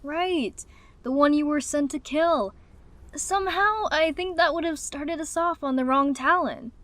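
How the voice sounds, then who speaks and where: A woman voicing a sardonic, guarded young dragon with a dry, sarcastic delivery for an animated fan dub. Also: sits high, measured pace, dry recording. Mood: sarcastic, challenging.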